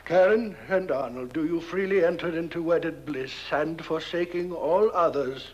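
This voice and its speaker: An actor playing a flustered, reluctant minister who stammers haltingly through a ceremony in a film comedy. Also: male voice, fairly high pitch, lo-fi sound, close to an everyday voice. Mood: solemn. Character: flustered, reluctant minister performing a bizarre wedding. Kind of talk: 1970s horror comedy film acting. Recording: noisy old film soundtrack.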